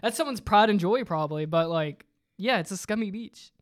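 A man's voice: high-pitched, expressive, normal speed. A man hosting a podcast in casual comedic chat, teasing and swearing freely as he banters. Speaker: podcast host.

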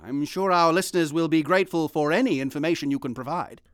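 A man's voice: a little high, quick pace, clean tone, dry recording, clean studio sound, close to an everyday voice. An actor playing a polite, probing radio newsman, formal and courteous, conducting a dramatized interview.

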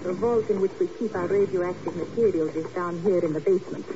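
An actress playing a cool, prickly foreign hospital vault keeper in a 1950s radio drama, stiffly polite and precise. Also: female voice, fairly low pitch, brisk, close to an everyday voice. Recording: muffled, noisy old radio recording.

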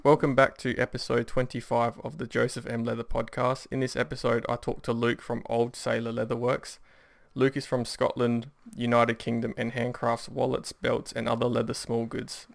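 A man hosts a relaxed podcast chat, his voice gravelly with a rough edge. He keeps his pitch fairly flat and level as he asks questions. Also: normal speed. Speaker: podcast host. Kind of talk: casual interview.

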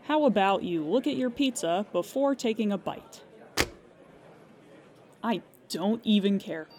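A woman's voice: mid-range voice, animated, a little slow, darkish tone, close to an everyday voice. A woman playing a weary, sarcastic emergency hotline operator in an audio drama, with a dry, clipped official manner and plain exasperation. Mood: fed up.

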